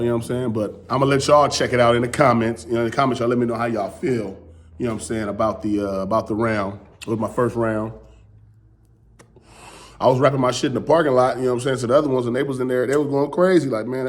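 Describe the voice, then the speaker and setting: A man and battle rapper with a strained, hoarse voice, recapping his round in loose, rambling casual talk. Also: on the low side. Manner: loose, rambling, repeats "you know what I'm saying". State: losing his voice.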